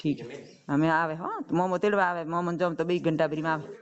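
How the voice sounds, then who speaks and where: A woman's voice: deep voice, steady tone, brisk. Blunt Rajasthani woman on muffled phone. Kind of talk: casual phone conversation. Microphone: telephone. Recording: dull lo-fi phone call recording.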